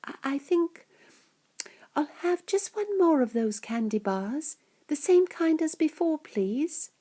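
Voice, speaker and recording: A woman's voice: sits high, animated, takes it slowly, mellow, voice pushed up. A woman voicing a small, polite, eager young boy in a storybook. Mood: shy, hesitant.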